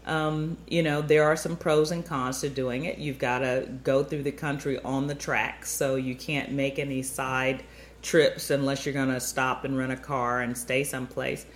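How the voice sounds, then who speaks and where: A woman's voice: very deep voice, flat delivery, normal speed, slight background noise. A middle-aged woman hosting a travel show, stuffy-nosed, chatting upbeat and rambling straight to her listeners. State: stuffy nose. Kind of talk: chatty podcast monologue.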